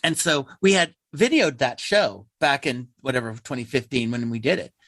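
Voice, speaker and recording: A man's voice: medium pitch, lively intonation, normal speed, a bit bright, slight background noise. A man speaking conversationally as a theater guest in an interview, telling things like a storyteller.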